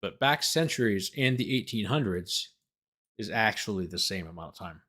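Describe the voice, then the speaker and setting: A man's voice: fairly low pitch, lively intonation, normal speed, pushed and bright, slightly rough. A man hosting as a lawyer, reading a document aloud and breaking off for incredulous, sarcastic commentary. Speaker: lawyer host.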